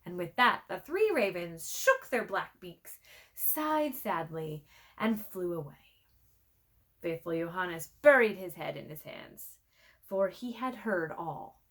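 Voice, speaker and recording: A woman's voice: fairly low pitch, big pitch swings, slow, fairly bright tone. A woman reading a fairy tale aloud as a storyteller, theatrical and playfully conspiratorial. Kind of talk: expressive scripted narration of a fairy tale.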